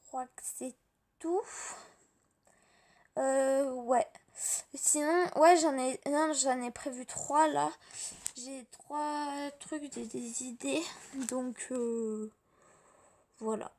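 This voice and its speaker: A tired, slightly hoarse young woman, rambling casually through a home vlog on her tablet, full of fillers and restarts. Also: sits high, very slow, pauses often, a little mellow. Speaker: vlogger. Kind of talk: casual daily vlog chat. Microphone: tablet camera.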